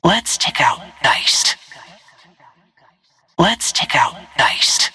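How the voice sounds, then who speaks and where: A woman playing a stealthy, cool-headed assassin in a video game, giving terse, controlled callouts in a hushed, husky whisper. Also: sits low, sing-song, extremely slow, heavily acted.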